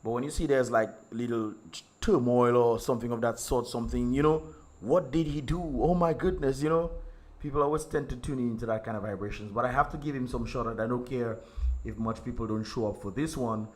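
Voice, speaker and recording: A hoarse man, chatting casually to his livestream viewers in a rambling way full of ums and repeated words. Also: mid-range voice, brisk. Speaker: livestream host and singer.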